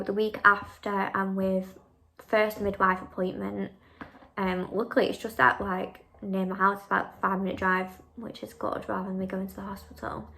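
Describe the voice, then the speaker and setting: A woman's voice: medium pitch, vocal fry, bright, forceful tone, poor audio. A young woman chatting casually in a vlog, answering viewer questions with lots of fillers like "um" and "like". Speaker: vlogger.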